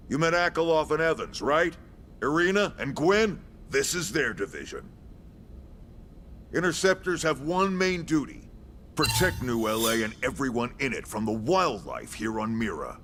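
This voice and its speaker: An actor playing a gruff, brash middle-aged military commander in a video game, with an American accent, a gravelly voice and a blunt, folksy, salty way of talking. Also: male voice, mid-range voice, bright, forceful tone, a little hiss or hum, full character voice. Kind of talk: scripted video game cutscene acting.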